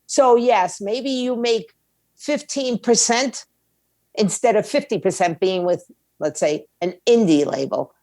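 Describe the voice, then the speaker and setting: A woman's voice: medium pitch, a little slow, mellow, dry recording, clean studio sound. A woman giving opinionated interview answers in a blunt, emphatic way, with slangy phrasing and rhetorical questions. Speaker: music industry attorney and indie label owner, interview guest.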